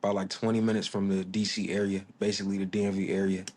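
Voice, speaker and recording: Laid-back young man, low flat voice. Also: quick pace. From Virginia, United States. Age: 20s. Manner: laid-back, many fillers, soft-spoken. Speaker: musician guest. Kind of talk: casual interview.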